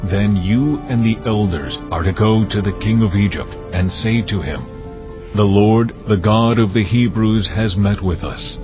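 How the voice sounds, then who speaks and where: An actor playing God as a solemn, commanding divine voice, proclaiming slowly and with weight. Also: male voice, fairly low pitch, natural voice. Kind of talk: dramatized scripture reading. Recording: muffled, over loud cinematic music.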